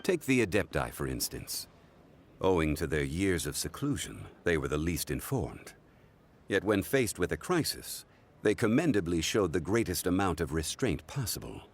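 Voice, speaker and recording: An actor playing an ancient god in mortal form in a video game, formal, measured and solemn. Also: male voice, sits low, sing-song, full character voice. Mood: composed. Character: ancient god living as a composed, scholarly man. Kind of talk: dramatic game voice acting.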